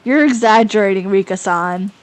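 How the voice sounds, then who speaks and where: A woman's voice: medium pitch, moderate pace, lightly acted. A woman voicing a kind, friendly schoolgirl who stammers when she is flustered. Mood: light. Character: cheerful kind schoolgirl, rattled and confused.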